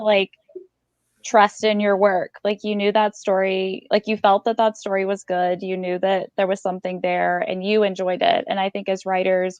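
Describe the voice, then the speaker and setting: A woman with a creaky edge to her voice co-hosts a casual podcast chat. She is encouraging and emphatic, and the sound is dry and somewhat muffled. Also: medium pitch, dry recording. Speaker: podcast co-host and book editor. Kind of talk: casual conversational discussion.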